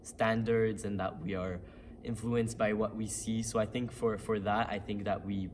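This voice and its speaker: A teenage boy from Canada, a young actor answering interview questions thoughtfully, with pauses and fillers. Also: fairly low pitch, little pitch movement, a little mellow, slight background noise. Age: teens.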